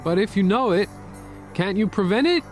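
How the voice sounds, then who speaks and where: An actor playing an earnest, troubled forty-year-old war veteran in a radio drama, speaking solemnly with music behind him. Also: male voice, high voice, expressive, normal speed. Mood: earnest, pleading.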